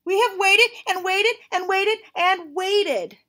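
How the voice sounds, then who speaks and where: A woman voicing an impatient, melodramatic young male elephant in a storybook, whining and wailing with drawn-out words. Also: extremely high pitch, bright, forceful tone, pitched above the performer's own voice, exaggerated voice. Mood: despairing. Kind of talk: storybook read-aloud character.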